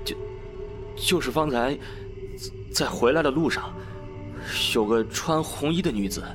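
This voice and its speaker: A man's voice: medium pitch, a little slow, lightly acted. A man playing a drunken, frightened minor official and husband in an old Chinese costume audio drama, slurred and stammering. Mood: flustered, pained. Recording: music behind the voice.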